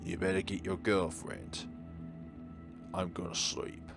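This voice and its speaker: A man voicing a drowsy, rough-spoken old village elder with a folksy, cryptic way of talking. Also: low-pitched, little pitch movement, slow, music in the background, heavily acted. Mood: cryptic, weary.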